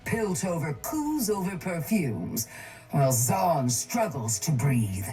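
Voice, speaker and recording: An actress voicing a cold, calculating, wealthy woman crime baron in a video game, smug, measured and condescending. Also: deep voice, sing-song, dark tone, faint music behind, full character voice. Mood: cool, calculating.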